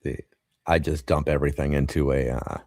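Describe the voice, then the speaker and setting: A man hosting a casual podcast interview, laid-back and agreeable, quick to laugh. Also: extremely low pitch, steady tone, a little mellow, no echo, studio quality. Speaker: podcast host.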